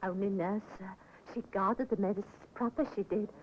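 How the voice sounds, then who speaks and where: A woman's voice: medium pitch, fairly even intonation, brisk, darkish tone, lightly acted. A woman acting a timid, deferential young Victorian housemaid in a 1950s radio drama, earnest and hesitant. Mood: frightened, earnest. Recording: muffled, echoing old radio recording, noisy.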